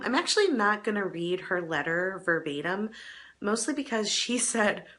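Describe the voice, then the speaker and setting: A middle-aged woman, a bit hoarse from a cold, hosting an advice show in a chatty, self-deprecating way with small laughs and restarts. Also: mid-range voice, pushed and bright. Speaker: advice column host. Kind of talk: casual advice talk to camera.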